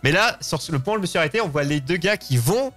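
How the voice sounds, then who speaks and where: A man's voice: on the high side, animated, fast talker, a bit bright. Give me a man hosting, music behind. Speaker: host of a live call-in show. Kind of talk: casual unscripted talk show hosting.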